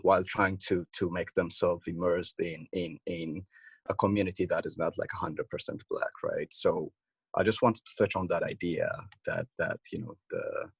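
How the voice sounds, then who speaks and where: A young man from Ethiopia in a casual group discussion, talking haltingly with many fillers and restarts. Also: low-pitched, animated, creaky, breathy edge, no echo. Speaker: participant.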